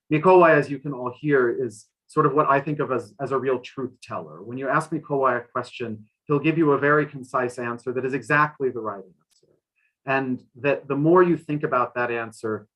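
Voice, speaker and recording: Bright-voiced man, thoughtful panel speaker. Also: medium pitch, poor audio. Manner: thoughtful, halting with repeated phrases. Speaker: literary translator and interpreter. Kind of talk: panel discussion, interpreting and answering questions.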